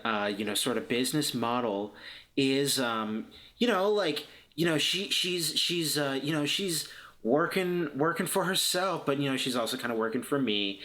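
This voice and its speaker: A man plays a self-satisfied young woman in a tabletop game, with a bright, forward voice. She talks down to people in a halting, hedging way, full of patronizing sympathy. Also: medium pitch, natural voice. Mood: smugly evasive. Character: self-satisfied, condescending young woman, small-business recruiter.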